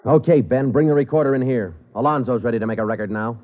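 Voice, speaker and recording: An actor with an American accent playing a businesslike police detective, clipped and matter-of-fact, in 1940s radio crime drama style. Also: male voice, medium pitch, fast, lightly acted. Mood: cool, firm. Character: male police detective, businesslike, narrating his case. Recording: muffled vintage 1940s radio broadcast, music.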